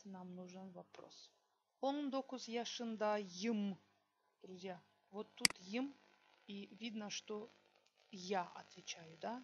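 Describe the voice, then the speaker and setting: A woman teaching a language lesson, slowly dictating example sentences word by word and checking in with her students. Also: mid-range voice, highs cut off. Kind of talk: online language lesson, explaining exercises.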